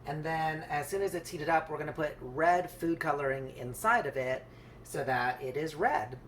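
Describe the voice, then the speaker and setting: A home baker talks through a project in a casual, rambling way, unscripted and hedging along the way. The voice sits at a middling pitch, and clear background noise runs through the homemade recording. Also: moderate pace, fairly bright tone. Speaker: home baker hosting a craft project video. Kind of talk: casual unscripted how-to narration.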